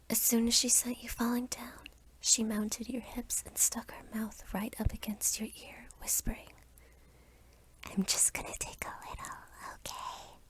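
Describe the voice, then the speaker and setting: A young adult woman reading a scripted second-person story as ASMR in a soft, whispery voice. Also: medium pitch, creaky, breathy edge. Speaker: roleplay narrator.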